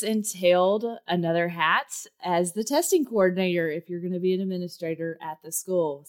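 A woman with a Texas accent talks through her career as an interview guest. Her voice sits a little low and comes across smooth and clear. She keeps it chatty, with plenty of ums and uhs. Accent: Texan. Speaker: guest, education administrator. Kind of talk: conversational interview answers.

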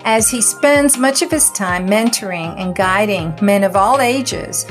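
A woman's voice: medium pitch, unhurried, faint music behind. A woman hosting an interview podcast, earnest and encouraging, reading her introductions and promos. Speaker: podcast host. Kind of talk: scripted intro then interview chat.

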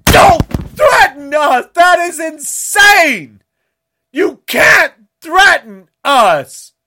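Tearful shouting man, high and swooping. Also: very animated, very slow, background noise. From United States. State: crying. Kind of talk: angry political rant to camera.

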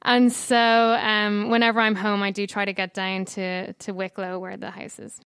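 A woman's voice: mid-range voice, little pitch movement, normal speed, clean tone, dry recording, clean studio sound. A woman in her thirties from Dublin with an Irish accent, chatting easily as a podcast guest about home. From Ireland. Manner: chatty, warm storytelling with fillers. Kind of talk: casual interview chat.

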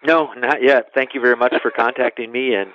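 A middle-aged man, giving measured, explanatory answers as an interview guest. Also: mid-range voice, steady tone. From west central Wisconsin, United States. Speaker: interview guest, national park superintendent. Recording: narrow phone-line sound.

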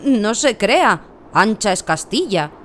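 An actress as an animated, folksy Arctic woman. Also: mid-range voice, slow, slight background noise. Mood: matter-of-fact. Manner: colloquial, emphatic, deadpan boasting. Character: chatty, folksy, self-satisfied Inuit woman, comic and blunt. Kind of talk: comic radio play acting.

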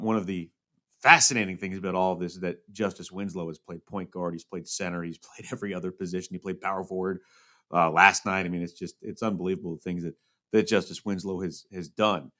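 A man's voice: sits low, a bit bright. A man hosting a sports podcast, giving conversational commentary on games and teams.

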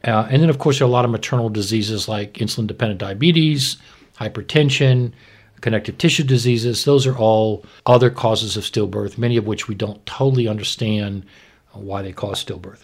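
A man's voice: on the low side, fairly quick. A man explaining medical matters in a conversational, plain-spoken way as a podcast host and expert. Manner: explanatory, plain-spoken, asks and answers own questions.

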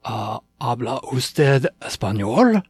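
An adult voicing a kindly, breathy tiger king. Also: mid-range voice, big pitch swings, heavily acted. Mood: puzzled, patient. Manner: formal, deliberate, gently regal. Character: great tiger, kindly, formal king of cats.

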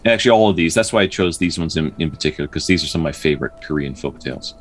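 Man, very low voice, slow folktale narration, measured pauses, lively intonation, storyteller host. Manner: measured, pausing delivery when telling the tale. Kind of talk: slow dramatic folktale narration with casual asides.

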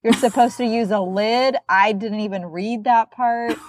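A woman's voice: on the high side, steady tone, measured pace, a little hiss or hum. A woman with a cold and a nasal voice, telling a self-mocking kitchen story in casual chat. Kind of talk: casual chat about cookbooks.

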